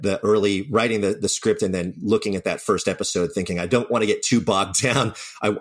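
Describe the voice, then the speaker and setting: Male interview guest, writer: very low pitch, conversational, candid delivery. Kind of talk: casual interview chat.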